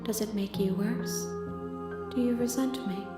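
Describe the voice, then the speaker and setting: A woman voicing a vast, calm otherworldly being of many selves in a visual novel, speaking in solemn, measured, poetic lines. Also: on the high side, natural voice. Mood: serene. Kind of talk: scripted visual novel voice acting. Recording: echoing voice, loud music underneath.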